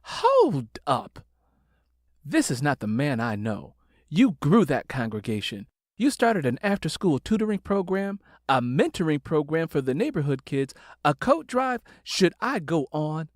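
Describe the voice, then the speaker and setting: A man's voice: on the high side, sing-song, a little slow, no echo, studio quality, voice pushed up. A man voicing an outspoken, protective grown daughter in an audiobook, speaking in a direct, emphatic way. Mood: protective, rousing.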